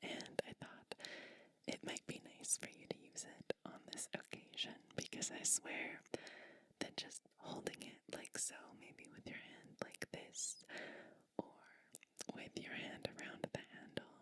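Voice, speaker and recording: A woman playing a gentle, caring witch in an ASMR bedtime roleplay, soothing and deliberate in her manner. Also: dry recording, lightly acted. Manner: soft, soothing, slow and deliberate. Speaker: ASMR performer. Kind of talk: whispered ASMR personal attention roleplay.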